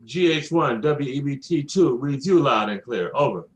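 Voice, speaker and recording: A man's voice: mid-range voice, expressive, moderate pace. A man making brief, formal procedural radio calls in clipped phrases as a trainee operator.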